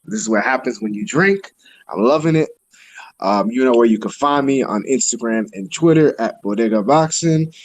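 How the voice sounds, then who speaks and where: A man's voice: medium pitch, lively intonation, normal speed, no room sound. Tipsy man ranting with animation. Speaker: podcast co-host. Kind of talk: casual chat.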